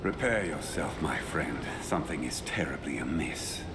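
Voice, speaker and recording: Deep, solemn scholar of dragons. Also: male voice, expressive. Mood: grave foreboding. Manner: solemn, measured, formal phrasing. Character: grave, wise male scholar of ancient elder dragons. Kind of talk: video game cutscene acting. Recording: loud rumbling ambient noise behind.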